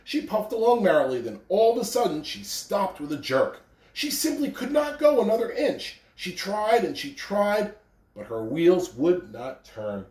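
Animated American man, roomy distant recording. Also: high voice, a little slow. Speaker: school principal or staff announcer and story reader. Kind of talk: morning announcements and storybook read-aloud to children. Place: carpeted living room at home.

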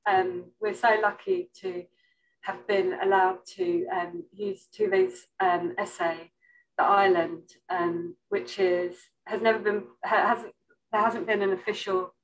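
A woman's voice: medium pitch, low-quality recording. A woman speaking informally as a curator on a panel over a video call, hesitantly searching for her words. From an island. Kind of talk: informal panel talk about an exhibition. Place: indoor room on a video call.

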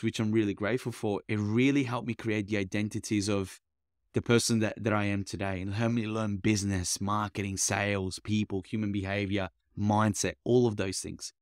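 Guest: young man, grew up in Italy, lowish voice, dry sound, chatty and halting. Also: no echo. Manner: rambling, many fillers and restarts. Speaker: mindset coach, podcast guest. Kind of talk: casual interview chat.

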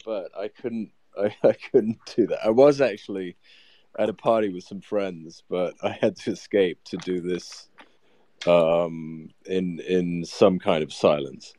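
A man chatting casually as a guest on an audio call, relaxed and hesitant, with ums and light laughter. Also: deep voice, a little slow, dry recording. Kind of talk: casual chat.

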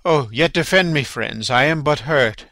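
A man plays a regal, scheming king in a Shakespeare audiobook. The voice is gravelly and forceful, closely and dryly recorded. His delivery is stately and declamatory. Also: a little high, normal speed, no room sound. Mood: pleading. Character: King Claudius, regal scheming middle-aged villain. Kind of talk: dramatic Shakespeare audiobook reading.

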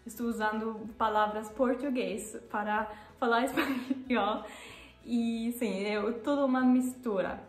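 A young woman from Germany speaking with a German accent, who often pauses and corrects herself and laughs at her own mistakes. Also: a little high, fairly even intonation, echoey room, soft background music. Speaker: vlogger. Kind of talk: casual vlog talk to camera. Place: living room, seated on a sofa.

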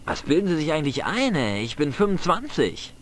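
A man's voice: fairly high pitch, very animated, fairly quick, a little hiss or hum. A man voicing a cheeky, defiant teenage village boy in a video game, boyish, slangy and cocky, with a flair for dramatic tall tales.

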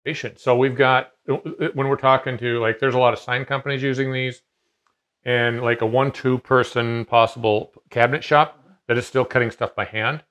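A man's voice: medium pitch, steady tone, normal speed, fairly bright tone, dull audio. Rambling middle-aged man in a garage workshop. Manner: rambling, many fillers and restarts. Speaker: CNC machine dealer. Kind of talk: casual explanation in a workshop conversation. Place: large home garage workshop.

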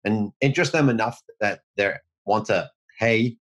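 Brief: man, 40s, deep voice, measured mentor presenting on a video call. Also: fairly bright tone. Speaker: mentor giving a talk. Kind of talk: online presentation.

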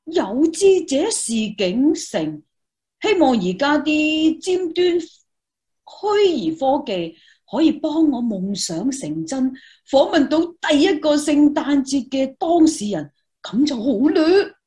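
Eager young female reporter, played by a woman, high and very animated, Hong Kong Cantonese accent, exclamatory radio-play delivery. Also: takes it slowly, exaggerated voice. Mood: hopeful, wondering. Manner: exclamatory, expressive. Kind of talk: Cantonese radio drama dialogue.

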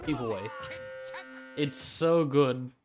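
A man's voice: mid-range voice, takes it slowly. A man streaming a video game, giving casual, offhand commentary on the play. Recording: game music behind voice. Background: game music.

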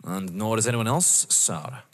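A man acting in an audio drama as a politely persistent police inspector, formal and probing, with a husky voice. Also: fairly low pitch, animated, unhurried. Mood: stern, polite. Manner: formal, deferential but probing. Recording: echoey hall-like reverb.

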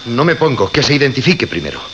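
An actor with a Castilian Spanish accent playing a haunted, bewildered man in a radio suspense drama, halting and brooding as he speaks. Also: medium pitch, sing-song, fairly bright tone. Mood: agitated. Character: haunted, bewildered male radio host, agitated. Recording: dull, noisy radio recording.

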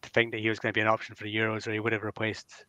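A young man wearing a headset on a video call, arguing his football points in a lively, reasoned way. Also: fairly low pitch, racing, no echo. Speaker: co-host.

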